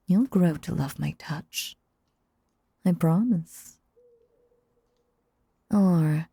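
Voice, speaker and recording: Woman doing a creepy lovestruck magician lady, slow breathy cooing w a bit of creak, controlling n theatrical, audio rp. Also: fairly low pitch, mellow, no room sound, studio quality, full character voice. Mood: soothing, possessive. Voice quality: breathy, often dropping to a whisper. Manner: theatrical, doting, drawn-out hypnotic cooing. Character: sinister, possessive female stage magician, lovestruck and controlling. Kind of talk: roleplay audio drama, intimate second-person monologue.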